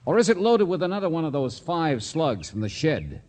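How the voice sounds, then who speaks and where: A man playing a tough, sharp-tongued private detective in an old American radio drama, needling and insistent. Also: fairly high pitch, sing-song, normal speed. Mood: confrontational, sardonic. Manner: hard-boiled, needling, insistent. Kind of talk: 1940s-50s American radio drama acting. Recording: vintage radio drama recording.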